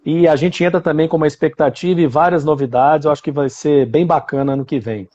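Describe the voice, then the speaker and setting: A man's voice: fairly high pitch, steady tone, fast, a little mellow, muffled recording. A man with a Brazilian Portuguese accent hosting an online interview, chatty and enthusiastic, with plenty of filler words. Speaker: interview host.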